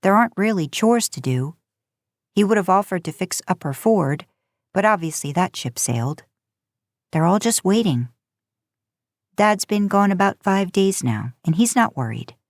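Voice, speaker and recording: A woman narrating a story aloud in a casual, expressive way. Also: mid-range voice, moderate pace, long pauses, dry recording, studio quality. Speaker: podcast host narrating fan fiction.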